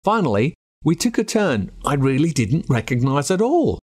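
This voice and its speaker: A man's voice: a little high, sing-song, normal speed, darkish tone, husky. An Australian man reads a spooky first-person story aloud, telling it dramatically. His voice sits a little above the usual male range, and his intonation swings widely as the tension builds. It is the storytelling manner of a spoken audiobook. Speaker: audiobook narrator.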